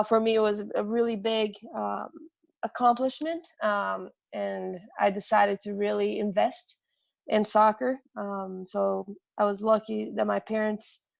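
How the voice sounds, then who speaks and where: A woman's voice: medium pitch, gravelly, no echo. A woman with a Brazilian accent, a strength coach, telling her life story with frequent hesitations and restarts. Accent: Brazilian Portuguese. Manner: hesitant, many ums and restarts.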